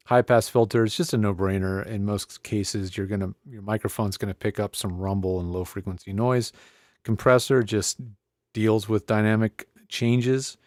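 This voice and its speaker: A man with a lowish voice walks through gear in a casual tutorial style. He talks conversationally, as if thinking aloud while he tests things. The sound is close and dry, on a studio podcast microphone. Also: darkish tone, no room sound. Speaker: presenter of an audio gear tutorial. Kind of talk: casual hands-on product tutorial.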